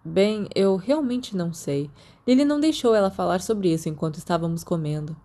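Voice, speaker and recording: A woman voicing a reserved, awkward, bookish teenage schoolboy in a hesitant, low-key manner for an audiobook. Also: expressive, a little fast, dark tone, close to an everyday voice. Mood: awkward, dry.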